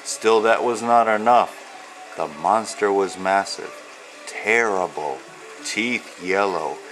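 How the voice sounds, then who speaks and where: Male author-reader for a children's picture book, lower register, very unhurried, measured storytelling delivery with pauses. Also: fairly bright tone, low-quality recording. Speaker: author and illustrator reading own children's book. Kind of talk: read-aloud storytelling of rhyming children's book.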